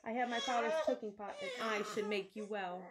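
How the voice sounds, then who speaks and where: A woman's voice: medium pitch, fairly even intonation, brisk, over a noisy crowd, natural voice. Storybook wizard: haughty young man, callous and exclaiming, performed by a female reader. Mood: desperate. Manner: shouted, exclaiming.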